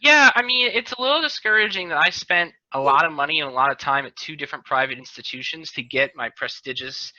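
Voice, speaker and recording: Very bright, forceful man, slightly high-voiced. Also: quick pace, hardly any pauses, no echo. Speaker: podcast host; lawyer. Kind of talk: casual comedic panel chat. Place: remote video call. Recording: dull video-call audio.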